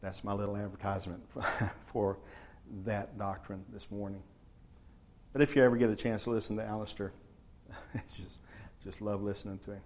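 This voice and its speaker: A man, preaching a sermon to his church in a relaxed, conversational way. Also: on the low side. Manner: conversational, unhurried, frequent uh fillers. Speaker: pastor.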